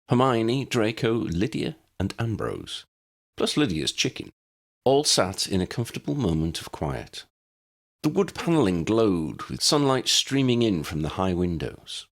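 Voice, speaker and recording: A man narrates fiction in a low, gravelly voice. His pitch swings widely as he tells the story, with deliberate and expressive phrasing. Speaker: audiobook narrator.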